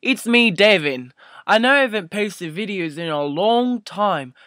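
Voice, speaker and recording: A man in his twenties telling a comic story to camera as a vlogger, halting and mock-emotional, swelling and hushing, close to a webcam mic in a small room. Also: high voice, expressive, dry recording. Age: 20s. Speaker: video blogger. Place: small furnished room indoors. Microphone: built-in webcam mic up close.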